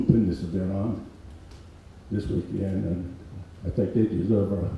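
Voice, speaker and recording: Older man in his eighties, hoarse, low-pitched, halting delivery, heard from a distance in an echoey hall. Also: fairly even intonation, lo-fi sound, a little hiss or hum. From Mississippi Delta, USA. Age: 80s. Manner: halting, many pauses and filler ums. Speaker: guest speaker. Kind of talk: informal speech at a reunion event.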